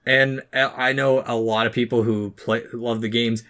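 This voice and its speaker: A man's voice: medium pitch, flat delivery, normal speed, fairly bright tone. A man co-hosting a podcast, chatting casually and conversationally. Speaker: podcast co-host. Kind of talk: casual chat, film and game discussion.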